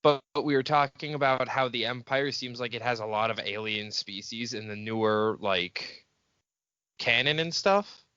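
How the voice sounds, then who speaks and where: A man's voice: mid-range voice, moderate pace, sharp tone, clear, resonant tone, no room sound, dull audio. A man chatting casually as a podcast co-host, swearing and being playfully melodramatic. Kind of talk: casual fan podcast chat.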